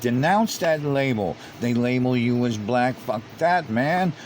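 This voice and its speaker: A man's voice: medium pitch, lively intonation, measured pace, a little hiss or hum. A man commenting on online comments, reading them out and repeating himself as he grows heated and emphatic. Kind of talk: casual commentary.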